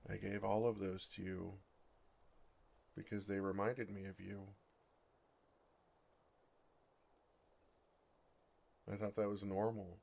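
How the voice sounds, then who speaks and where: A man voicing an ancient, gentle and oblivious dragon in an ASMR roleplay, with a halting, bewildered and earnest delivery. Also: on the low side, little pitch movement, vocal fry. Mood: sheepish, tender.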